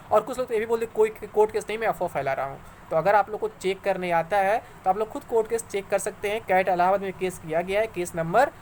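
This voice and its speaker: A man's voice: sits high, a little hiss or hum. A man giving informal explanatory commentary to viewers, conversational and argumentative, repeating points for emphasis. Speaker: exam news YouTuber.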